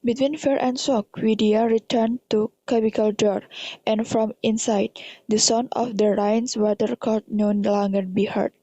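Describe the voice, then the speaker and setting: A woman's voice: on the high side, fairly even intonation, unhurried, warm tone, no echo, lo-fi sound. A young woman with an Indonesian accent reading scripted horror drama narration in non-native English. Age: young adult.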